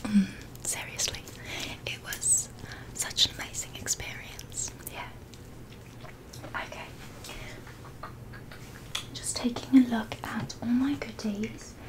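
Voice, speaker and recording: Young woman whispering asmr roleplay, gentle, flat even tone, close mic. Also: a little high, takes it slowly, long pauses, poor audio. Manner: soft whispering, gentle and reassuring. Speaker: ASMR creator. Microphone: close microphone.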